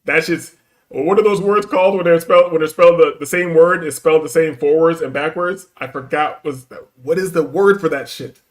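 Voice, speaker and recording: A man's voice: on the high side, fairly quick. A man hosting a reaction stream, talking bluntly in profane street slang. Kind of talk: casual reaction commentary.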